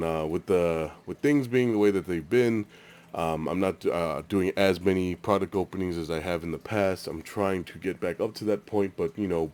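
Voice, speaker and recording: A man's voice: deep voice, a little mellow. A congested young man hosting a casual pack-opening video, rambling with lots of fillers. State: congested, stuffy nose. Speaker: card-opening channel host.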